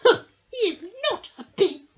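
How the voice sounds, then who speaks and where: A woman's voice: moderate pace, highs cut off, lightly acted. A woman voicing a clever, suspicious little girl bee with a pert, insistent manner in a children's storybook. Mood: indignant, triumphant.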